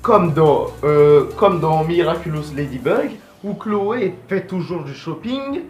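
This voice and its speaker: A man's voice: high-pitched, darkish tone, roomy sound. A young man giving casual, slangy video commentary in an informal, digressive way. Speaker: video host.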